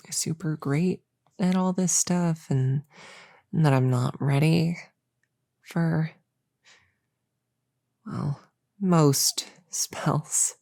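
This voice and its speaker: Soft, slow, halting young novice witch played by a woman. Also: deep voice, gravelly, no room sound, studio quality, heavily acted. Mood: sheepish, hesitant. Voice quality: soft, often dropping into whisper. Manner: halting, soft-spoken, hesitant and reassuring. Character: nervous, eager young novice witch, a friend. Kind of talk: ASMR audio roleplay. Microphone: close-up mic in a dry, quiet space.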